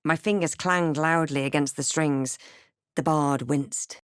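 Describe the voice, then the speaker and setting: A woman playing a wry, wary halfling adventurer in a fantasy video game, with a measured, dramatic delivery. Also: sits low, fairly even intonation, clear, resonant tone, no room sound, clean studio sound. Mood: wry, watchful. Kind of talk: scripted video game voice lines and narration.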